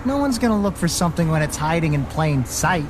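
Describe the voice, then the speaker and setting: A man's voice: a little high, animated, fairly quick, close to an everyday voice. Guy doing a cocky petty crook, voice jumps all over, slangy, grumbly, radio drama with music behind. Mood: grumbling. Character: cocky, slangy small-time male gangster. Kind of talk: audio drama acting.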